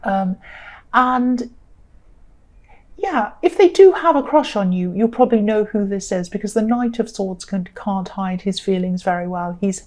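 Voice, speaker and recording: A woman giving a solo tarot reading, speaking gently with a slightly croaky voice, repeating herself and thinking aloud with plenty of fillers. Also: mid-range voice, measured pace. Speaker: tarot reader.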